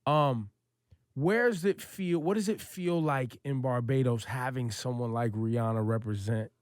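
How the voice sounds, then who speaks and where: A man's voice: medium pitch, unhurried, no room sound, studio quality. A male radio host asking a guest long, casual, rambling questions. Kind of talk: radio interview.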